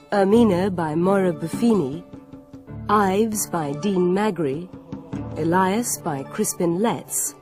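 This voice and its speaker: A woman with a British accent making a formal, scripted announcement as a radio announcer. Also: on the low side. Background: music.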